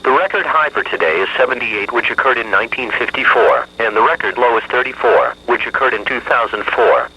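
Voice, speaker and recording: A synthetic man's voice reads a scripted weather bulletin over the radio. It is very bright and forceful, with a rough, husky edge. The delivery stays flat and evenly measured at a middling pitch. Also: low-quality recording.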